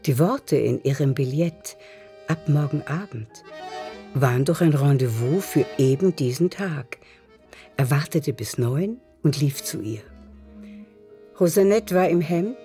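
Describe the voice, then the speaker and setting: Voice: mid-range voice, dark tone, close to an everyday voice. A performer as a composed literary narrator, reading scripted narration in a radio drama. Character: composed literary narrator of a nineteenth-century novel.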